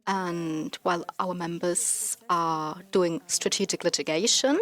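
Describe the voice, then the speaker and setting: A woman speaking as a digital rights advocate at a conference panel, deliberate and pausing with fillers. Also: fairly low pitch, steady tone.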